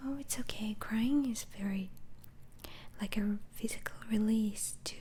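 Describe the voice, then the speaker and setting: Slow whispering woman as a gentle female doctor. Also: mid-range voice, lightly acted. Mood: reassuring. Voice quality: soft breathy whisper. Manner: halting, hesitant, murmuring acknowledgements. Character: gentle, inquisitive female doctor interviewing a mental patient. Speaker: ASMR roleplay performer. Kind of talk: whispered ASMR roleplay, improvised interview. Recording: faint background noise.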